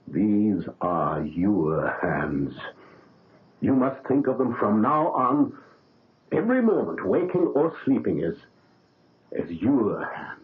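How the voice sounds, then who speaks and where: An actor playing a proud, paternal surgeon in a 1970s radio drama, coaxing and insistent. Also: male voice, medium pitch. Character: proud, paternal German surgeon, older man. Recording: narrow phone-like old radio broadcast.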